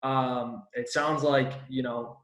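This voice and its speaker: A male podcast host talks in a loose, eager way, full of slang and "dude". His mid-pitched voice bounces off the walls of an echoey room. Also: level tone, slow, fairly bright tone. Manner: enthusiastic, slangy, calls guest "dude" and "bro". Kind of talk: casual podcast interview.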